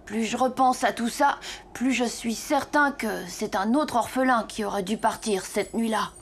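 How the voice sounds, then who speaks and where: A woman's voice: on the high side, normal speed, noisy background, close to an everyday voice. A woman voicing an earnest, self-doubting orphan boy in a French children's cartoon. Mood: doubtful. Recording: music behind the voice.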